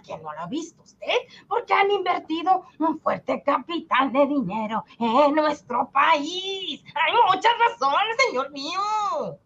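A woman's voice: sits high, lively intonation, takes it slowly, hardly any pauses, fairly bright tone, highs cut off, full character voice. A woman playing a smug, dismissive government minister in a radio drama, drawling with exasperated condescension. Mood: condescending, exasperated.

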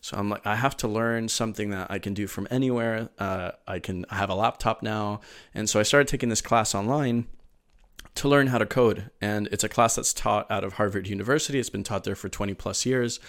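A man in his thirties from Venezuela, with a slightly low voice. He tells his story eagerly in a loose, rambling way, full of "uh"s and self-corrections. It sounds like a relaxed interview conversation. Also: fairly quick. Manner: eager, rambling with many fillers and restarts. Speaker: podcast guest.

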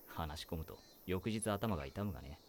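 An actor voicing a weary male high-school teacher who talks in a dry, wry, world-weary way. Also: animated, normal speed, slight background noise. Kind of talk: voice drama acting.

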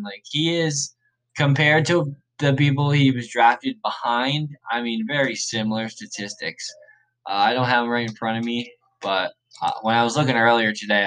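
A man hosting a podcast, thinking aloud in a casual, rambling way, his voice a little raspy and worn. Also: mid-range voice, a bit bright, poor audio. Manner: rambling, laid-back, self-correcting. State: slightly raspy, tired voice. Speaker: podcast host. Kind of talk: casual thinking aloud during a fantasy draft.

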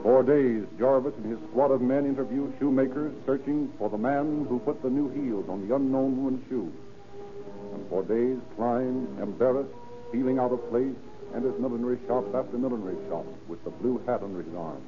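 An actor narrating a 1930s radio police drama in a measured, dramatic, solemn way. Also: male voice, mid-range voice, natural voice. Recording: muffled old radio recording, music behind.

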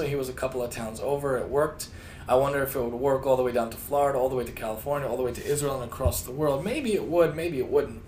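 Middle-aged American male podcast host, medium pitch, casual and enthusiastic, slightly noisy recording. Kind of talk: casual solo podcast talk, tip of the day.

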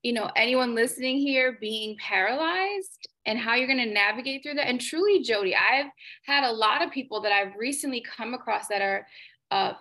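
A female podcast host with a bright, ringing tone and a somewhat high voice. She speaks in casual chat with enthusiastic, gushing reactions, heard over a remote call. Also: moderate pace.

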